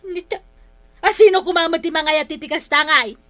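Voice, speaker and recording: A woman's voice: very high-pitched, fairly even intonation, brisk, a bit bright. An actress with an Ilocano Filipino accent playing an aggrieved, gossipy village woman in a radio comedy, exclamatory and dramatic. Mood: indignant, hurt.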